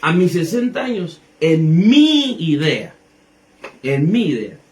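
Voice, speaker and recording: A man's voice: a little high, big pitch swings, takes it slowly, darkish tone. A man in his thirties, a pastor, teaching the Bible conversationally, explaining with rhetorical questions and everyday examples. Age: 30s.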